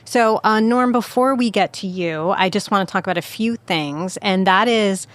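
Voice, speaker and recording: A woman's voice: mid-range voice, normal speed, no echo. Bubbly woman hosting a radio chat. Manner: bubbly, teasing, lots of laughing. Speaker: radio show host. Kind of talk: casual banter and chat.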